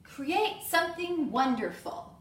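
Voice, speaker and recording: Woman playing storybook schoolteacher: kindly, patient yet firm, lively pitch, echoey room. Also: slow, distant mic. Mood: bright, encouraging. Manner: instructing, patient but firm. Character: kindly, good-humoured female primary school teacher in a storybook. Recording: echoey room with faint noise.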